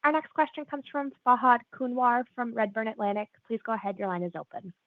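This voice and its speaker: Female operator, higher voice, scripted and formal, phone-line sound. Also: quick pace, no echo. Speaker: call operator. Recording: dry, tinny phone line.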